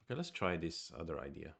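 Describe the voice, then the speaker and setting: A man's voice: low-pitched, vocal fry, background noise. A man with a Swedish accent streams his go game, thinking aloud in a hesitant, musing way. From Sweden. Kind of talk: live-streamed game commentary.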